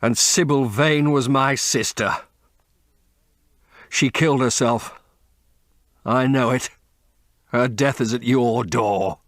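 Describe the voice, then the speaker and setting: A man's voice: mid-range voice, fairly bright tone, no room sound, clean studio sound, pitched above the performer's own voice. A man playing a grim vengeful sailor. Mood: menacing. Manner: slow, menacing, deliberate.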